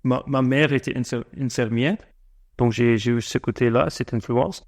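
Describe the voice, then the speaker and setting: A man in his forties who grew up in South Africa and speaks French as a second language, answering interview questions slowly with many fillers and restarts. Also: medium pitch, fairly even intonation, darkish tone. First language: not French. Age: 40s. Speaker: guest entrepreneur.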